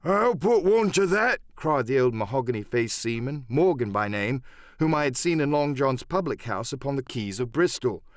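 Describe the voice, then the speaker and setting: A man's voice: mid-range voice, moderate pace, dry recording, studio quality. A man narrating a story as a scripted, dramatic audiobook reading.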